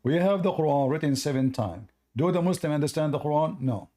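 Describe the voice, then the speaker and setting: Argumentative man with a dark voice. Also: fairly high pitch, a little fast. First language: Arabic. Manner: forceful, argumentative, repeats himself. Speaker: host of a call-in debate stream. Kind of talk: live call-in debate, reading from sources on screen.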